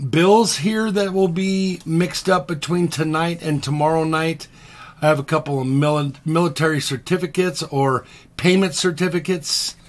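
Chatty middle-aged man selling coins. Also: on the high side. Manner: chatty, relaxed, many ums. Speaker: coin dealer host. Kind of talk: casual live-stream sales talk. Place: home office with coin displays.

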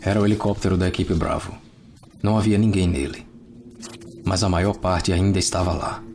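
A man voicing a grim special-forces officer who narrates a horror mystery gravely and deliberately, in a fan dub. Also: sits low, fairly even intonation. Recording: loud music over the voice.

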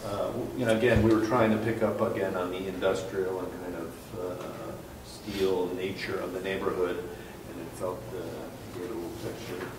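A man, an architect, presenting his project at a public hearing, halting with many fillers and restarts, recorded from afar in a large conference room. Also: low voice, flat delivery, far from the mic. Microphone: far room mic. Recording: echoey room from afar, background noise.

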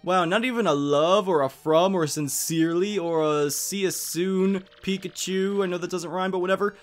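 Male Let's Play host: young, higher-pitched, casual and jokey, game music behind. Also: moderate pace. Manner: enthusiastic, jokey. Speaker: gaming host.

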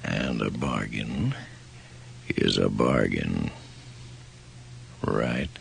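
An actor playing a sinister, mocking old train conductor from the beyond, with folksy menace and a gravelly voice, in radio horror drama. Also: male voice, extremely low pitch, expressive, very slow, exaggerated voice. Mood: sly, taunting. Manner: folksy, menacing, taunting, drawling. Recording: slightly noisy 1980s radio recording.

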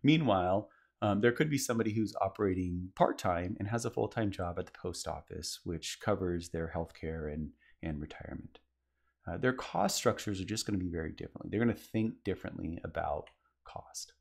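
A man's voice: low-pitched, a little fast, gravelly, a little mellow. A man presenting a conference session, explaining in a casual way with frequent fillers and restarts. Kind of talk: business presentation.

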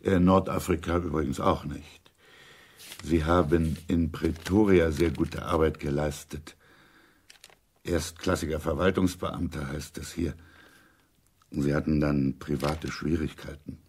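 An actor playing an urbane, authoritative intelligence chief in a German radio drama, speaking in a measured, deliberate way. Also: male voice, very deep voice, steady tone, a little mellow, husky, exaggerated voice. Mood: matter-of-fact, probing. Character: senior intelligence chief, urbane, matter-of-fact, authoritative older man.

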